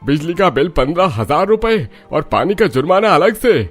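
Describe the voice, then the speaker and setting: A man's voice: fairly high pitch, very animated, moderate pace, soft background music. An actor playing a stern, indignant older father-in-law in a cartoon story. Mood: angry. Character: stern, aggrieved father-in-law, head of the household.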